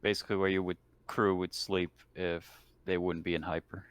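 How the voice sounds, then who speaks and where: A man's voice: sits low, level tone, moderate pace, no room sound. A man talking casually and jokingly as a player in a tabletop roleplaying game.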